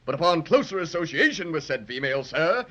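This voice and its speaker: Stiff little tin soldier officer, highish animated voice, clipped, pompous, muffled old radio. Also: male voice, brisk. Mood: officious. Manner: clipped, pompous, punctuated with sir. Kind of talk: 1930s radio acting, military report. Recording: muffled vintage 1930s radio recording.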